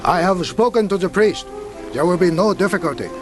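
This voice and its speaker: An actor playing a courteous official and interpreter, formal, deferential and slightly hesitant, in a radio drama. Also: male voice, high-pitched, lively intonation, brisk, music in the background. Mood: formal, explaining.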